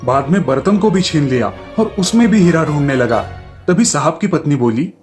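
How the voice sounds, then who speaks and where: A man's voice: on the high side, animated, normal speed, darkish tone. A man narrating a scripted comic story for children as a storyteller. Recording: music behind the voice.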